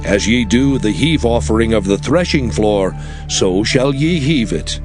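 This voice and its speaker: A man's voice: medium pitch, lively intonation, unhurried, over music. A man giving a formal, solemn, declarative reading of scripture for an audio drama. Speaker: scripture reader.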